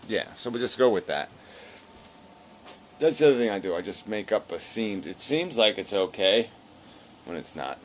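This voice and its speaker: Low-voiced weary man rambling. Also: sing-song, normal speed, long pauses, a little hiss or hum. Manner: weary, low-energy, self-deprecating. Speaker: video blogger. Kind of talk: rambling casual monologue to camera.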